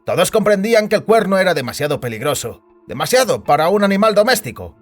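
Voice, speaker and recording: A man playing an uneasy, deferential subordinate in an audio drama, earnest and respectful even as he objects. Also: high voice, fast. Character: Svets, uneasy, deferential male subordinate recovering in hospital. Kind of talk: scripted Spanish audio drama acting. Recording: music bed behind the voice.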